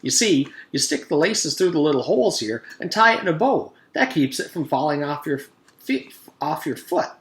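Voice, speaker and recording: A man voicing an eager, boastful schoolboy who tells tall tales in an animated, earnest way, as in a storybook reading. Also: fairly high pitch, moderate pace, roomy sound, voice pushed up. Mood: awkward, earnest. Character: eager schoolboy telling an excited, gory tall tale.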